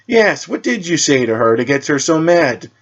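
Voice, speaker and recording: A man's voice: on the high side, big pitch swings, normal speed, highs cut off, voice pushed up. A man voicing a stern, sarcastic teenage witch girl in a superhero story. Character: stern, smirking teenage witch girl, sharp-tongued feminist.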